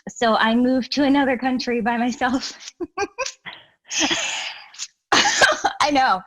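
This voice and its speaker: A woman's voice: sits high, slow pace. A woman from Guyana, a guest chatting earnestly in an interview, full of restarts and asides. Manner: animated and earnest, many "you know"s and restarts. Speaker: guest, healer and educator. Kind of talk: casual interview chat.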